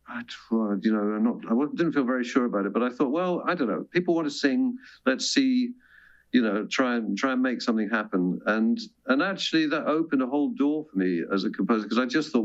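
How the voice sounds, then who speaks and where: A man talking casually as an interview guest, a composer and choir director who often restarts and corrects himself, heard over a muffled remote link. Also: lowish voice. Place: remote video link from London. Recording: dull, muffled remote-call audio.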